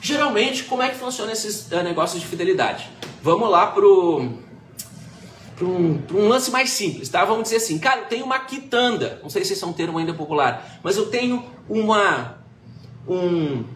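A man giving a casual, animated online marketing class, full of fillers and restarts, recorded on a webcam. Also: high-pitched, moderate pace. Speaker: marketing consultant presenting a live class. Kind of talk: informal webinar lecture. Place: small indoor room. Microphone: webcam-framed at desk, video call. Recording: echoey room with faint background noise.

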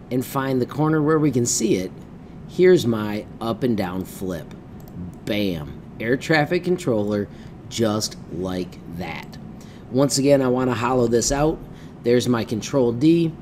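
A middle-aged man presents a hands-on computer tutorial in an informal, upbeat way. His pitch is average for a man, and he drops in punchy little exclamations and folksy phrases. Some low background noise sits behind his voice. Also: darkish tone. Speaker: tutorial presenter teaching 3D design. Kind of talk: step-by-step software tutorial, informal. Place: indoors at a computer, webcam screencast.

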